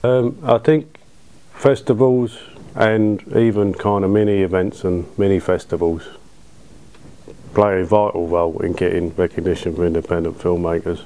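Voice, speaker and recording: A British man in his thirties talking informally in an interview, in a low-key, colloquial way. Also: level tone, moderate pace, dark tone. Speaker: cinema owner being interviewed. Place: small dark cinema auditorium, indoors. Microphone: lapel.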